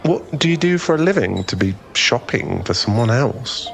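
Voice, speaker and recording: A man's voice: medium pitch, sing-song, moderate pace, gravelly. A man playing a friendly, easily flustered young guy in a conversational ASMR boyfriend roleplay, with a hesitant, rambling, self-effacing way of talking. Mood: sympathetic, reassuring.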